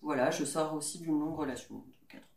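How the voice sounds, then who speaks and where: Halting woman in her twenties, low voice. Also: vocal fry, darkish tone. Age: 20s. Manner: halting self-introduction, many fillers and restarts. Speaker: podcast guest. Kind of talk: casual chat among friends. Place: living room, around a coffee table. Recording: roomy sound from a distant mic.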